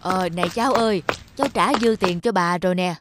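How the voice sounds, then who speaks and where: A woman voicing a kindly, honest old street vendor in a children's cartoon, with a gentle grandmotherly manner. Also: fairly low pitch, a little fast, background noise, close to an everyday voice. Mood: friendly.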